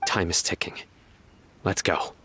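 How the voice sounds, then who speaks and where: An actor playing a stern, composed investigator in a video game, speaking in a measured, solemn and formal way. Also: male voice, sharp tone, slight background noise. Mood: grave, resolute. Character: stern, composed young male investigator of few flourishes. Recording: music behind the voice.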